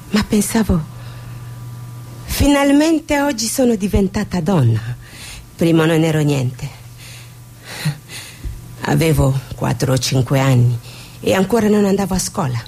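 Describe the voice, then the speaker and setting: Stage drama: actress as wounded, defiant Somali woman, deep and mellow, slight background noise. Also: vocal fry, heavily acted. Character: Somali woman, scarred by suffering, defiant and mocking. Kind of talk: stage monologue and dramatic dialogue.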